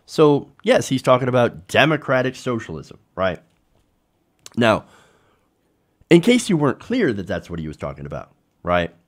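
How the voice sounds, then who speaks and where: An American man delivers opinionated political commentary as a show host. His voice sits at a medium pitch and moves around a lot for emphasis. He argues emphatically, leaning on rhetorical questions. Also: wildly swooping pitch, moderate pace, long pauses.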